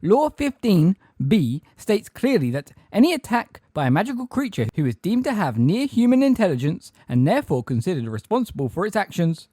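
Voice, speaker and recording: A man voicing a pompous, frightened female government official in a storybook, with a high, shrill, officious and indignant delivery. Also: big pitch swings, fairly quick, few pauses, warm tone, breathy edge, no echo, studio quality, full character voice. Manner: officious, haughty, legalistic. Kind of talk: audiobook character acting.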